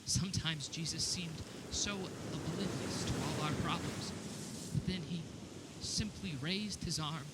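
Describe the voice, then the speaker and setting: A man's voice: a little high, unhurried, close to an everyday voice. Man playing a troubled disciple amid chirping crickets. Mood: agitated. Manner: casual, reflective storytelling. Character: troubled, plain-spoken male disciple recalling the night in the garden. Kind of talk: dramatic first-person radio theater monologue. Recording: clear background noise of night insects. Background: crickets and outdoor night ambience.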